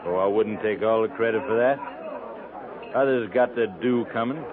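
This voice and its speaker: An actor playing a cocksure, sardonic frontier buffalo hunter with folksy western phrasing in a 1950s radio drama. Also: male voice, fairly low pitch, moderate pace, crowd noise, lightly acted. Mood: defiant needling. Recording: tinny, band-limited 1950s radio recording.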